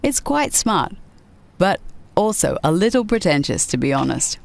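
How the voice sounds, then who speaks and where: A woman's voice: fairly low pitch, big pitch swings, moderate pace, gravelly, background noise. A woman reading scripted narration for a video list show about games.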